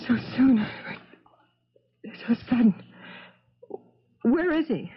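A woman's voice: on the high side, animated, slow, slightly rough, heavily acted. An actress playing a scheming, brazen married woman who feigns grief and bristles easily, in a 1970s radio drama. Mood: shocked grief. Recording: dull, muffled old radio broadcast.

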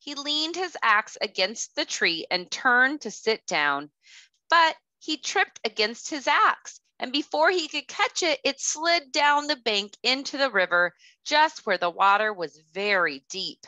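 A woman in her thirties, a kindergarten teacher reading a children's storybook aloud with careful, expressive delivery. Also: fairly high pitch, unhurried, no echo, low-quality recording. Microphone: headset.

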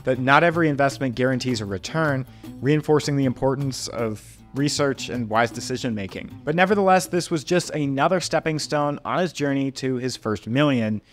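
A young man in his twenties narrating a scripted money-history video from a small home studio, with steady, upbeat explainer delivery into a podcast microphone. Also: mid-range voice, moderate pace, faint music behind. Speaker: finance video host. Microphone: podcast mic on boom in front.

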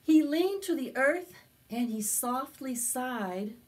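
An older woman hosting preschool storytime, reading a picture book aloud with expressive, drawn-out phrasing. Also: high-pitched, slightly rough. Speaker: library preschool storytime host. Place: small indoor space with decorated backdrop.

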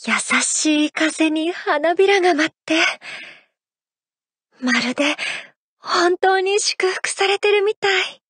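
A woman voicing a graceful, playful young female idol in a mobile game, speaking soft, intimate and affectionate lines. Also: extremely high pitch, takes it slowly, pauses often, no room sound, exaggerated voice. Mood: tender. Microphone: studio mic.

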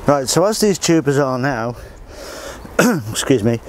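A man's voice: medium pitch, very animated, unhurried, noisy background. A middle-aged man, casually showing how he does a garden job while he works, outdoors on an allotment. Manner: plain, practical, talks while working.

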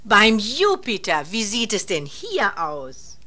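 A woman playing a brisk, homely mother in a children's radio play. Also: medium pitch, huge pitch swings, pushed and bright, exaggerated voice. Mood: cheerful, brisk.

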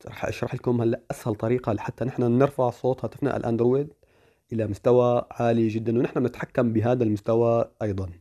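A man's voice: on the low side, warm tone. A man giving a chatty, step-by-step phone tutorial. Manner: chatty, explaining step by step with fillers. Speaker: tech tutorial host. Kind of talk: casual screen-recorded phone tutorial.

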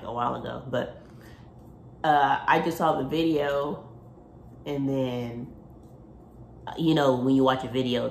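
Chatty woman with a gravelly morning voice. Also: slight background noise. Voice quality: deep morning voice. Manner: rambling, chatty, self-interrupting. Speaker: vlogger. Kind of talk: casual vlog chat. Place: home kitchen, then parked car. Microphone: phone camera at arm's length.

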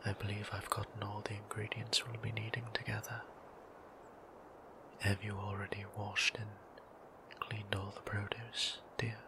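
A man voicing a gentle, formal male vampire priest in an ASMR roleplay, whispering with courtly, old-fashioned politeness. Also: lowish voice, completely flat delivery, unhurried, long pauses, background noise. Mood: tender.

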